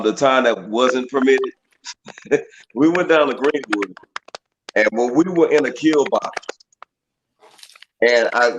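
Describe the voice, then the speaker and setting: A man's voice: medium pitch, lo-fi sound. A man, a guest on a talk stream, speaking like a drill instructor about training and leadership, and listing training terms. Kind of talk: casual chat. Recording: noisy call audio.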